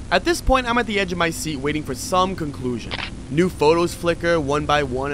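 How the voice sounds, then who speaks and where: A man's voice: on the high side, fairly bright tone, a little hiss or hum. A man hosting a video review, giving scripted commentary in an informal storytelling manner. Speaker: host of a horror video review channel. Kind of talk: scripted commentary with casual asides.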